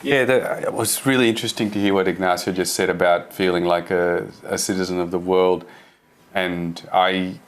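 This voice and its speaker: A man's voice: low-pitched, steady tone, moderate pace. A man from India speaking as a panelist in a panel discussion, earnest and thoughtful.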